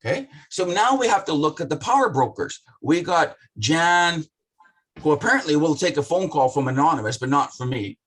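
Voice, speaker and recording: A man with a rough voice talking passionately and bluntly in a casual business discussion, swearing often. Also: fairly high pitch, lively intonation, moderate pace, a bit bright.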